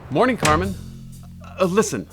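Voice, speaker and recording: A man's voice: sits high, big pitch swings, moderate pace, over music. A man acting an earnest, polite superhero with a mild-mannered alter ego in a radio play. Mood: awkward, polite.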